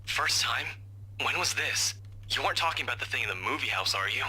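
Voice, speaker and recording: An actor playing a young policeman, an earnest, teasing friend in a visual novel, with a husky edge. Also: mid-range voice, expressive, brisk, pushed and bright, a little hiss or hum.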